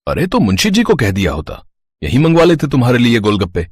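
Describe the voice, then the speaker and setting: A man's voice: medium pitch, wildly swooping pitch, fast talker, slightly rough, no room sound. A man voicing a stern, snobbish rich father who lectures his daughter in a cartoon story. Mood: scolding. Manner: lecturing, scornful of the poor. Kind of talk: Hindi cartoon voice acting.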